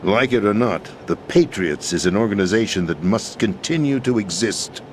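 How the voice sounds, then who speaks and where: An actor playing a disillusioned older American president in a video game, a cynical conspirator speaking in a grave, measured, dramatic way. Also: male voice, on the low side, expressive, a little hiss or hum, close to an everyday voice. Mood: urgent, foreboding. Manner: measured, grave, expository delivery with dramatic emphasis. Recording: dramatic music behind the voice.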